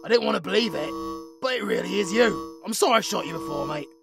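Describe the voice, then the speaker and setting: A man with a British accent playing a blunt, foul-mouthed, irate character in a zombie horror audio drama, with a rough voice. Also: high-pitched, animated, fast talker, over music, full character voice. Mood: rueful. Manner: blunt, sweary. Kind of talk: horror audio roleplay acting.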